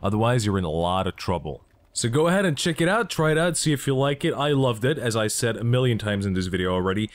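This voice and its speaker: Lively enthusiastic gaming vlogger. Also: male voice, mid-range voice, fast, dry recording. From Belgium. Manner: enthusiastic, joking, asks himself questions. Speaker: gaming YouTuber. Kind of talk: casual gaming vlog commentary.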